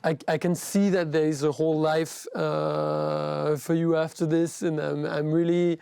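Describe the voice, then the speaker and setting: A man's voice: fairly high pitch, fairly even intonation, measured pace, gravelly, mellow, clean tone, no room sound, studio quality. A French man hosting an interview in English with a French accent, asking probing questions. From France. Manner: probing questions, brief backchannels. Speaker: interview host. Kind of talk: interview conversation.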